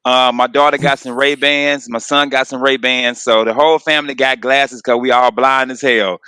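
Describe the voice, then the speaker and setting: A man's voice: medium pitch, steady tone, normal speed, pushed and bright, clean tone, no room sound. A man hosting a comedy radio show with hyped, slangy, casual banter.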